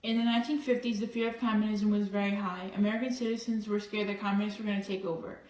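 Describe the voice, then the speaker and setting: A woman's voice: medium pitch, level tone, fast talker, a little mellow. A woman reading a scripted news report as a student reporter. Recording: echoey room, distant from microphone.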